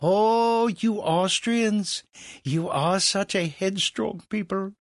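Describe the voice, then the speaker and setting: A man voicing a quick-tempered French king with a shrill, imperious manner. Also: no room sound, studio quality. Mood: amused threatening.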